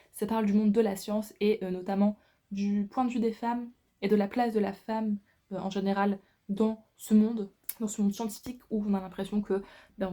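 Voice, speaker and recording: A woman in her twenties talks as a book vlogger in a soft-edged, mellow voice at a medium pitch. Her delivery is a casual, informal chat to the viewer, loose and full of slang. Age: 20s.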